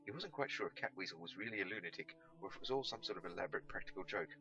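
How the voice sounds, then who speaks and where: Expressive man narrating, bright and creaky. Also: low voice, racing, muffled recording. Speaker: amateur audiobook reader. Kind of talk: scripted storybook narration.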